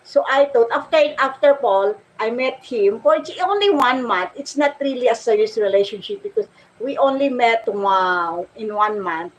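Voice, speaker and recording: A woman with a Filipino accent chatting casually to her viewers as a vlogger, in a halting, giggly manner. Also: fairly high pitch, slight background noise, poor audio.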